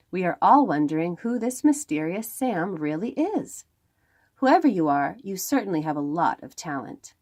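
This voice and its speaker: Woman reading storybooks aloud with very lively intonation. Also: mid-range voice. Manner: expressive, with dramatic emphasis and pauses. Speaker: children's read-aloud host. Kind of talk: expressive storybook read-aloud for young children.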